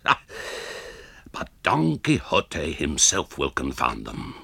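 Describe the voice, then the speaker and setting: A man's voice: extremely low pitch, wildly swooping pitch, slow, creaky, pushed and bright, breathy edge, no echo, full character voice. An actor playing an embittered old author who narrates his tale in a radio drama, wry, scornful and grandiloquent. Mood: bitter, scornful.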